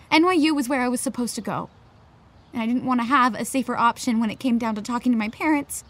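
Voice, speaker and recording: A woman's voice: sits high, a bit bright. An actress playing an anxious, defensive teenage girl in a naturalistic audio drama, with a sarcastic, exasperated edge. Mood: defensive, ashamed.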